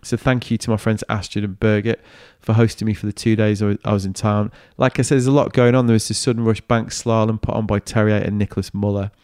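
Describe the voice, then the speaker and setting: Male podcast host, lowish smooth voice, chatty, upbeat banter. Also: fairly even intonation, fast, hardly any pauses, darkish tone, dry recording, studio quality. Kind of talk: casual chat and solo wrap-up.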